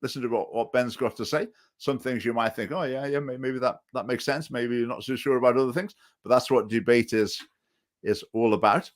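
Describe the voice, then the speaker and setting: A man's voice: mid-range voice, fairly even intonation, fairly quick. A man hosting a talk show, speaking hesitantly with many fillers and restarts. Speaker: host. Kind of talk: political talk show chat.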